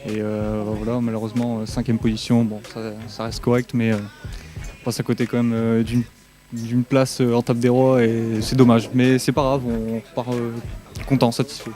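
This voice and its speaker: A man's voice: mid-range voice, flat delivery, moderate pace. A man giving a casual post-game interview, hesitant and resigned but accepting. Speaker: eliminated player being interviewed. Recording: clear music behind interview. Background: music.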